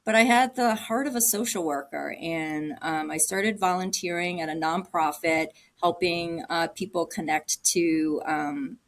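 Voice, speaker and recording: A woman's voice: lowish voice, measured pace, hardly any pauses. Middle-aged woman guest from Cambodia, earnest, speaks off the cuff, lots of ums. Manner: warm, earnest, thinking aloud with many ums and restarts. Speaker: guest, agency director. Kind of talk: casual interview answers.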